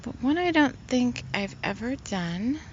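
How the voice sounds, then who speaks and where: A woman spiritual healer in casual, rambling talk, soft-spoken and full of fillers. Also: on the high side, animated, unhurried, highs cut off, a little hiss or hum.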